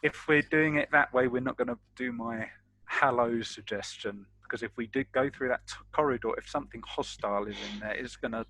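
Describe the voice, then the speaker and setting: Bright, forceful man at a game table. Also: mid-range voice, fairly quick, rough recording. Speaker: player in a tabletop roleplaying game. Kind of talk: casual game-table talk.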